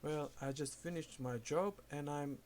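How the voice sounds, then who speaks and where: A man on a prank phone call, speaking slowly and deliberately, overly polite, acting confused. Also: fairly high pitch, a little mellow, a little hiss or hum. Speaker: scambaiter posing as a caller.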